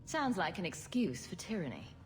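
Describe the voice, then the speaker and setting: A woman's voice: on the low side, sing-song, normal speed, soft background music. A woman playing a wisecracking, sarcastic adult heroine in a video game cutscene, with dry delivery. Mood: pointed probing.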